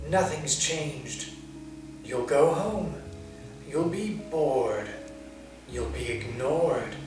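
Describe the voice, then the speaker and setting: A man's voice: a little high, pitched above the performer's own voice. A man doing a scratchy, rustling, tempting old ghoul. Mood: taunting. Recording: roomy, distant, with music.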